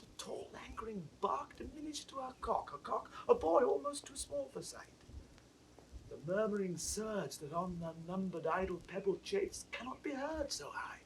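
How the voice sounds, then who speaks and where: A man playing a disguised young nobleman, tender and urgent, in theatrical classical Shakespearean acting that moves between hush and exclamation. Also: very high voice, full character voice. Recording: distant, noisy 1960s studio drama recording.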